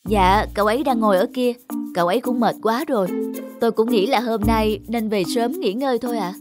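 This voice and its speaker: A woman's voice: fairly high pitch, fairly quick, natural voice. A woman voicing a polite, deferential male tour guide in a cartoon dub, with music underneath. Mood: apologetic. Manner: polite, formal presenting.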